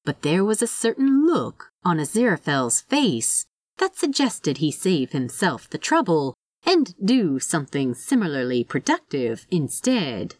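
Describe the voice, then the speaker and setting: A woman reading a story aloud in an expressive, wry and conversational way. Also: lowish voice, no room sound, studio quality. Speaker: fan fiction narrator. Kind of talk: expressive scripted story narration.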